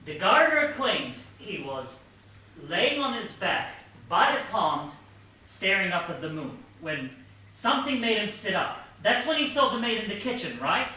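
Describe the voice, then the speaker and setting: An actor playing an earnest, pedantic detective sidekick in a comic stage play, explaining things with precise, patient logic. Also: male voice, sits high, very animated, unhurried, pushed and bright, exaggerated voice. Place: theatre stage, mic far off. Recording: distant, echoey theatre stage recording, faint hiss.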